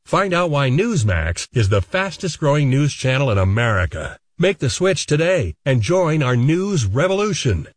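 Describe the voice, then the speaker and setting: A man's voice: mid-range voice, very animated, normal speed, hardly any pauses, dry recording, clean studio sound. A man reading a scripted commercial in an upbeat, persuasive tone. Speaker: announcer in a commercial. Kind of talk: scripted ad read.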